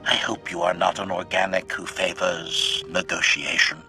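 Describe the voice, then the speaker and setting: A man's voice: low-pitched, little pitch movement, normal speed, pushed and bright, faint music behind. A man voicing a cold, calculating, loyal assassin droid in a video game, with clipped, formal delivery. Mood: boastful, dismissive. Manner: clipped, formal, announces each statement with a one-word label. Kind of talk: scripted video game dialogue.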